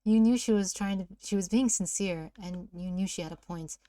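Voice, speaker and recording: A woman's voice: lowish voice, normal speed, warm tone, no echo, clean studio sound. A playful, giggly woman co-hosting a read-aloud, narrating a story and bantering casually. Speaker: co-host of a read-aloud series.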